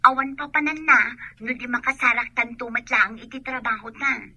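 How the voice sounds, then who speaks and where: A woman's voice: on the high side, steady tone, normal speed, bright, forceful tone, breathy edge, no room sound, highs cut off, heavily acted. An actress in a radio drama playing a restless young rural woman eager for city life, with a Filipino accent. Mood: eager, insistent.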